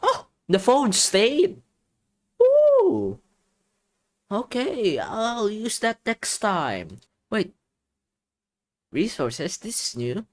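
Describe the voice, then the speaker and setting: Animated man reading a game story aloud. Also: sits high, drawn-out words, pauses often. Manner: animated, exclaiming reactions between read passages. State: voice tiring and strained. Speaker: gaming video creator.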